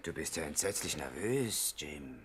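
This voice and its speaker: An actor playing a placating gangster henchman in a 1960s radio comedy, husky and expressive. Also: very deep voice, unhurried, heavily acted. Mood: puzzled. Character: male gangster henchman soothing his nervous boss.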